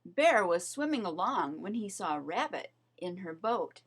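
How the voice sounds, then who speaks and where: A woman reading a children's story aloud as narrator, in an expressive, measured storytelling manner. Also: fairly low pitch, husky. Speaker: storybook reader.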